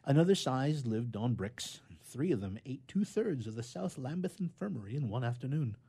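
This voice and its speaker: A man reading a children's tale aloud in an expressive storybook manner, with emphatic swells and drops. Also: medium pitch, moderate pace, breathy edge. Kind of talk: scripted storytelling narration.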